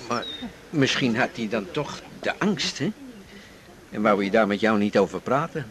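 A man's voice: lowish voice, expressive, moderate pace, crowd noise, close to an everyday voice. An actor playing an amiable, inquisitive male companion in a naturalistic radio drama. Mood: probing gently.

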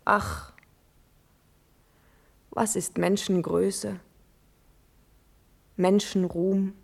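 Slow-spoken, passionate young princess. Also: female voice, medium pitch, steady tone, vocal fry, full character voice. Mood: shaken, lamenting. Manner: declamatory, solemn, measured. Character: noble young princess, passionate, pleading, proud and eloquent. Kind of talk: classical German verse radio drama acting.

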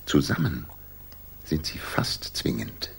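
An actor playing a brilliant, brisk and commanding detective in a 1960s German radio drama, musing and deliberate as he speaks with authority. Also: a bass voice, wildly swooping pitch, creaky, breathy edge, whispered, full character voice. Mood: intent, explaining.

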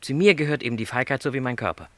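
A man's voice: medium pitch, lively intonation, rapid-fire, fairly bright tone, no echo, natural voice. An actor playing a lonely middle-aged travelling salesman in a literary radio play, speaking with courteous, reflective resignation. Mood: resigned.

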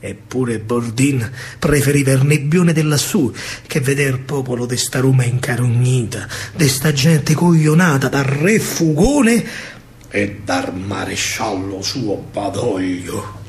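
A man with a Roman accent performing a theatrical verse monologue in dialect, expressive, dramatic and colloquial. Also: mid-range voice, a little slow. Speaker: stage actor and storyteller.

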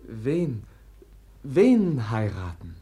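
Slow, husky, gentle prince. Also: male voice, mid-range voice, wildly swooping pitch, full character voice. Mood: gentle, earnest. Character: gentle, sincere, hesitant prince. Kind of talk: 1950s German radio play acting.

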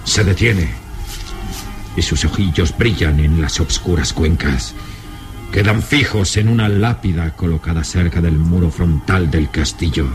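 A man narrating a gothic adventure radio serial in a solemn, suspenseful manner with deliberate phrasing. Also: deep voice. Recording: old radio serial with dramatic music. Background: dramatic music.

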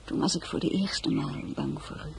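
An actress playing an earnest, emotional young crown princess in a radio play, speaking softly and wistfully, then urgently. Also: female voice, low-pitched, very animated, moderate pace, vocal fry, exaggerated voice. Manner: soft and wistful, turning urgent and pleading. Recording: noisy old radio play recording.

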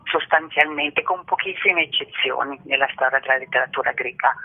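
Through a narrow, tinny telephone line comes a woman with a low, husky voice. She explains her ideas reflectively and didactically, as an articulate guest in a radio interview. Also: brisk. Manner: articulate, didactic, uses rhetorical tag questions.

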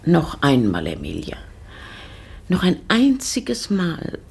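An actress playing a woman lost in memories, speaking slowly and whispering to herself in a radio play. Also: sits low, huge pitch swings, gravelly, darkish tone, breathy edge, heavily acted. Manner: slow, dreamy, whispering to herself. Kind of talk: radio drama monologue.

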